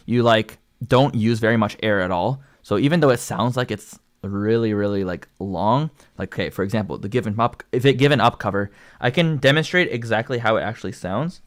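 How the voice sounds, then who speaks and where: A young man with a Canadian accent, a singer chatting casually about his own vocal progress, rambling with many fillers. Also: medium pitch. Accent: Canadian English. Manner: rambling and filler-heavy, lots of likes and ums. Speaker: singer and channel host. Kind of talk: casual livestream commentary.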